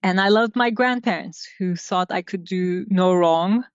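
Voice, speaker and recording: Slow, reflective woman from Turkey. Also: on the low side, clear, resonant tone, dry recording. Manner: unhurried, reflective, with many pauses and fillers. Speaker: guest designer. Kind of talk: casual interview storytelling. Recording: dull lo-fi sound.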